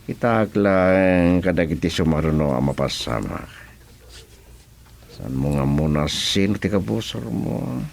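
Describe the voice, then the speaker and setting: A man's voice: sits low, gravelly, a little mellow, heavily acted. A man acting a scheming, domineering boss in an Ilocano radio drama. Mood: smug, scheming. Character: scheming domineering male boss, smug with underlings.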